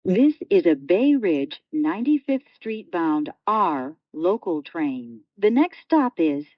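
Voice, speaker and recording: Female subway announcer, lowish voice with swooping intonation, clipped segmented phrasing, dry lo-fi recording. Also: big pitch swings, takes it slowly, no echo. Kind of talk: scripted automated transit announcement. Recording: dull lo-fi transit announcement audio.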